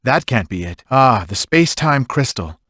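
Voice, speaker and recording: An actor playing a dignified lord and army commander who deliberates gravely in a video game. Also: male voice, mid-range voice, sing-song, a bit bright, no room sound, studio quality. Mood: pondering. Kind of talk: scripted video game voice acting.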